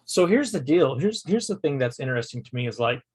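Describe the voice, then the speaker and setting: A man in his forties hosting a casual podcast chat, talking with halting restarts and frequent check-ins. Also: medium pitch, sing-song, a little mellow, husky. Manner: conversational, repeats "okay?" to check in, halting restarts. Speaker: podcast host. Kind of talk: casual conversation.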